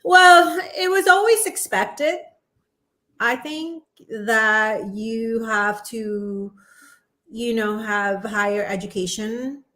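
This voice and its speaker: A woman in her forties from Indonesia, a podcast guest chatting casually and laughing often. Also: fairly high pitch. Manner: animated, laughs often, quick asides. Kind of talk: casual interview chat.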